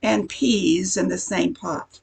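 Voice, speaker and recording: A woman playing a worn-out diner waitress in an amateur comic radio sketch, blunt, sarcastic and gravelly. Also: lowish voice, steady tone, slow, darkish tone, exaggerated voice. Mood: weary, sarcastic. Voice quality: gravelly, husky.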